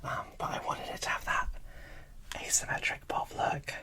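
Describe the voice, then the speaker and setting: A host speaking in a breathy whisper into a close microphone, giving casual, enthusiastic ASMR unboxing commentary. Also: moderate pace.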